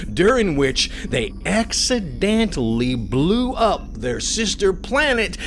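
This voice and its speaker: A man's voice: a little high, vocal fry, a bit bright, slight background noise, exaggerated voice. An actor playing an earnest, nervous underling in an audio drama, stammering and over-explaining as he reports.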